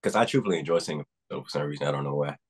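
A young man speaks as a guest on a video call from a room at home. His voice is very low with a husky grain, and he talks earnestly in loose, rambling sentences. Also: racing. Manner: earnest, rambling with restarts and fillers. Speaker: guest singer. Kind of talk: casual interview. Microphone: video call webcam.

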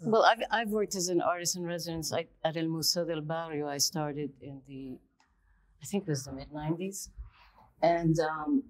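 Low-voiced earnest middle-aged woman. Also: fairly even intonation, moderate pace. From Colombia, raised in the United States. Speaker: panel guest artist. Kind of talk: panel conversation.

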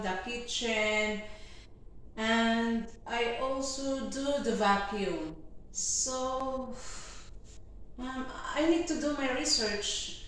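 A woman's voice: a little high, fairly bright tone, slight background noise, voice pushed down. A woman playing a grown daughter who pleads with her mother in a whiny way. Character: grown daughter begging her mom for wifi. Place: home indoors. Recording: echoey room, far from mic.

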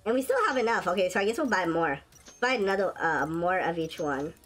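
Raspy-voiced excitable gaming host. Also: female voice, fairly low pitch, fairly quick. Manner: excitable, shrieks and laughs at wins and deaths. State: sick, sore throat. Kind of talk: casual gameplay commentary. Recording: faint game music behind.

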